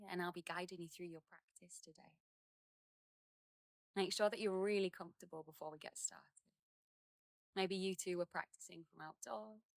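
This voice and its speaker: A woman leading a guided meditation outdoors, speaking in gentle, unhurried phrases with many pauses and soothing instruction. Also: lowish voice, no room sound, clean studio sound. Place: outdoors in a garden.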